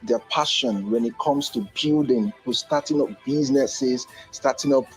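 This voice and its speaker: A man who leads a youth group, speaking in a measured, speechlike way about young people. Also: mid-range voice, faint music behind, low-quality recording. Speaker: group leader. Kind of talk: testimonial interview.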